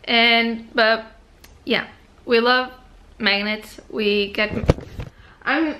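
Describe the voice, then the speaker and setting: A young woman vlogger with a Portuguese accent talks in an unhurried, drawn-out way, her voice sitting a little high. She chats to a camera held at arm's length and drifts from one thing to the next. A faint hiss of room noise sits under her voice. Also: steady tone. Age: young adult. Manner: chatty, unhurried, easily sidetracked. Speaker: travel vlogger. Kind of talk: casual vlog chat. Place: hotel room and home living room. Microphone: handheld camera at arm's length.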